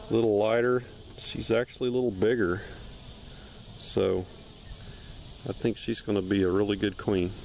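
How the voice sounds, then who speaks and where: A man casually narrating a hive inspection outdoors, with an unhurried, plain-spoken, matter-of-fact way of talking. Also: fairly low pitch, a little mellow, slight background noise. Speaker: beekeeper and video host. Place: outdoors among trees beside beehives.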